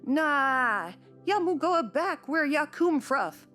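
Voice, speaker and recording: A woman's voice: sits high, lively intonation, slow pace, bright, forceful tone, soft background music, voice pushed up, full character voice. A woman voicing a surly old manservant who snarls and screams nasally in a Yorkshire accent. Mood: surly, snarling.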